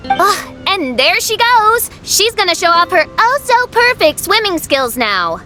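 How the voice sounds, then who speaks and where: A grandiose princess girl from an anime game. Her voice is very high and she declaims in pompous, old-fashioned diction. Faint music plays behind her. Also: big pitch swings, unhurried, pushed and bright, full character voice. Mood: excited. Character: theatrical self-styled princess girl, grandiose and haughty. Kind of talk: anime-style game voice acting.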